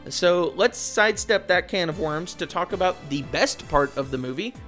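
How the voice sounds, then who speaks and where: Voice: medium pitch, animated, normal speed, fairly bright tone. A video essayist delivering scripted commentary with informal, wry asides. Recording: music behind the voice. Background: background music.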